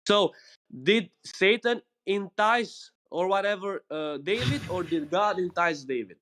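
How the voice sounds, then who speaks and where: High-voiced man with Albanian as first language. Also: animated, fairly bright tone. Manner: halting, repeats himself, asks politely for his turn. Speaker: debate participant. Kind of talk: casual online debate. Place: voice chat call.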